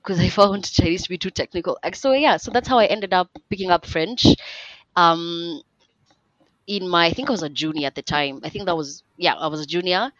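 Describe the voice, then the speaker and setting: A woman's voice: lowish voice, fairly quick, fairly bright tone, no echo. A young woman in her twenties, a podcast guest talking in a chatty, rambling way with lots of fillers and restarts. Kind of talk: casual podcast interview. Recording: dull lo-fi dry recording.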